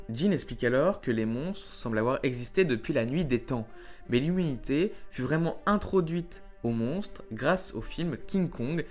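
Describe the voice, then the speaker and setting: A man narrating a scripted video essay in an explanatory way, slipping into conversational, informal asides. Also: mid-range voice. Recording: voice-over over background music. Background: music.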